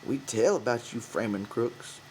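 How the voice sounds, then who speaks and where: A man voicing an old ranch hand in an audiobook, quiet, cautious and folksy. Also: mid-range voice, measured pace, slight background noise, lightly acted, poor audio. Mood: quiet, defeated. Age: older. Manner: soft-spoken, folksy. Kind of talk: audiobook character voice.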